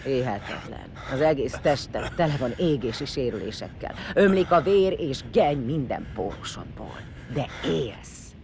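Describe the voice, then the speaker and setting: An actor in an audio drama playing a vengeful, bloodthirsty warrior leader who sneers, swears and barks orders. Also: male voice, medium pitch, lively intonation, hardly any pauses, background noise, close to an everyday voice. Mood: scornful. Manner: sneering, foul-mouthed, barking orders.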